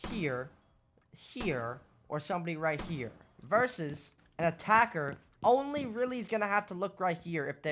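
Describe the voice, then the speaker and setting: A young man with a voice going hoarse, casually explaining shooter game tactics over gameplay and often checking in with "right?". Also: a little high, fairly bright tone. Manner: explanatory, rhetorical questions, lots of "right?". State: losing his voice. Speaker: gaming content creator. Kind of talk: casual gameplay tips commentary.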